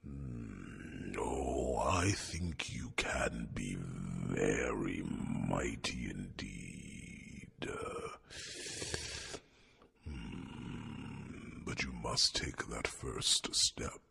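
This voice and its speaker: A man voicing an old, wise male dragon mentor in an ASMR fantasy roleplay, slow and gently teasing with soft chuckles and breathy whispers. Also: lively intonation, slight background noise, full character voice. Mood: encouraging. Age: older. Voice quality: deep gravelly voice with breathy whispers.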